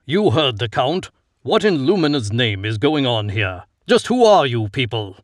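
A gruff veteran champion, voiced by a man who is blunt and demanding, with no warmth. He sounds slightly raised and lively, and he is recorded close. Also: mid-range voice, a little slow, no echo. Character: hardened veteran male champion, gruff, hostile.

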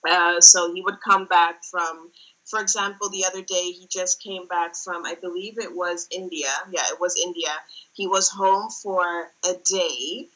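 Young woman from the Netherlands, interview guest; bright, lowish voice, halting and reflective. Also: steady tone, rough recording. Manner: thoughtful, many fillers and restarts. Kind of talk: casual interview talk.

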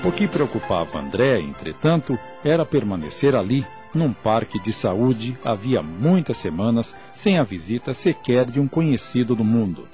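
A man's voice: medium pitch, very animated, normal speed, hardly any pauses, mellow, faint music behind. A man narrating a scripted radio drama in formal, literary diction.

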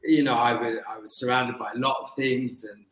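A man's voice: medium pitch, fairly even intonation, moderate pace, fairly bright tone. Reflective man from London, echoey room. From London, England. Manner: reflective, hesitant with many fillers. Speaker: interview guest, business owner. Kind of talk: casual interview conversation.

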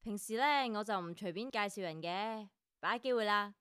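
A woman acting as a savvy female radio director in a Cantonese drama, brisk and self-assured, with a Hong Kong accent. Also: medium pitch, fairly bright tone, clear, resonant tone, no room sound, studio quality, natural voice. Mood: impressed, persuasive.

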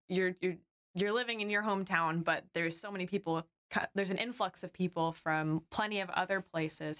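A woman's voice: lowish voice, a bit bright. A woman co-hosting a podcast, friendly and upbeat, asking questions with a few restarts. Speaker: podcast co-host.

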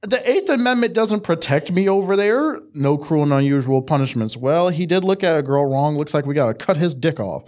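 Animated man joking in crude banter. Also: fairly high pitch, fairly quick, few pauses. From United States. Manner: animated, joking, jumps into mock voices. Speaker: podcast co-host.

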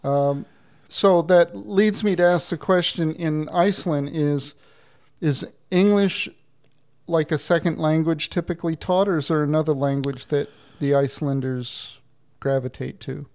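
A man hosts an interview with a friendly, chatty manner. His voice sits a little high and carries a gravelly rasp. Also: fairly even intonation, measured pace, darkish tone. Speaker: interviewer host. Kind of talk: casual interview chat.

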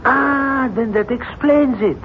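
High-voiced, sceptical doctor. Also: male voice, slow pace. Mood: surprised. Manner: probing, dismissive. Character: sceptical, brisk-minded male doctor, stern with his patient. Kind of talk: 1970s radio drama acting. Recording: muffled old radio recording, faint noise.

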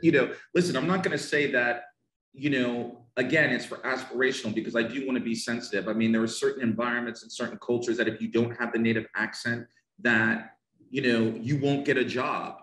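A man's voice: medium pitch, fairly even intonation, a little fast, fairly bright tone, roomy sound, low-quality recording. A man from the United States with a Northern New Jersey accent, an English pronunciation teacher talking emphatically and passionately on a podcast, often repeating himself for stress.

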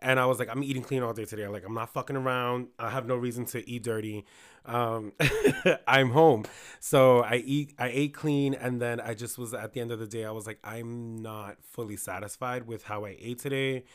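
A hoarse-voiced man talking in a casual podcast chat, animated, acting out quoted reactions. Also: mid-range voice, moderate pace. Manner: chatty, animated storytelling with quoted asides.

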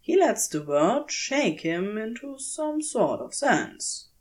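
A woman reading a story aloud as a scripted fiction narration, with measured, expressive storytelling. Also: a little high. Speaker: podfic narrator.

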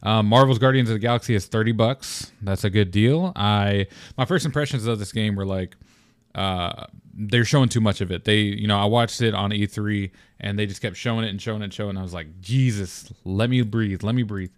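A man's voice: fairly quick, no echo, studio quality. Laid-back young man hosting a podcast. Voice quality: deep morning voice. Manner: rambling, relaxed, lots of fillers and restarts. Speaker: podcast host. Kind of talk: casual solo podcast talk.

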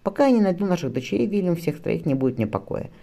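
Male reader as worried wife and mother, historical audiobook, brisk, affectionate, animated pitch, slightly gravelly. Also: exaggerated voice. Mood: brisk, concerned.

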